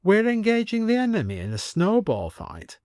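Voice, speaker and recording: Pompous old colonel acted by a male narrator, raised pitch, commanding, old-fashioned military phrasing, storybook character. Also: wildly swooping pitch, normal speed, darkish tone, no room sound, studio quality. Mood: doubtful.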